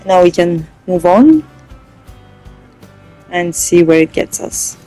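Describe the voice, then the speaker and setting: A woman's voice: on the low side, expressive, takes it slowly, warm tone, soft background music, rough recording. A woman giving casual let's play commentary, rambling and hesitant as she goes. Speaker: gamer streaming a playthrough.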